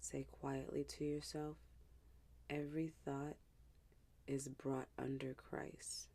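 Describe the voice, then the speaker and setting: Woman, meditation guide, very slow pace, creaky low edge, hushed, gentle, soothing scripture-based narration. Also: level tone. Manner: hushed, gentle, unhurried, with pauses.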